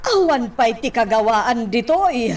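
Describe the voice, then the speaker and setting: A woman's voice: fairly high pitch, sing-song, a little slow. A woman in a radio drama playing a dissatisfied young woman in hiding, whiny and fussy in manner. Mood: displeased.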